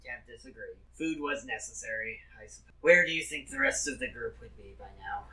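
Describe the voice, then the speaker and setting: A man's voice: mid-range voice, lively intonation, brisk, sharp tone, roomy sound. A man talking casually as he plays a tabletop roleplaying game. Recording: distant mic with faint noise.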